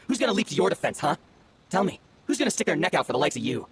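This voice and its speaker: An actor playing a cocky, sneering young bandit bully in a video game, taunting and mocking. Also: male voice, on the high side, sing-song, very fast, slightly rough, heavily acted. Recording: music behind the voice.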